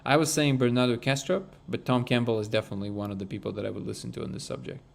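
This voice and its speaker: A man from Russia hosts a live discussion stream, rambling casually and earnestly through viewer comments. He restarts his sentences often and keeps an animated, conversational flow. His voice sits at an ordinary middle pitch. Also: rapid-fire, creaky. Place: Southern California.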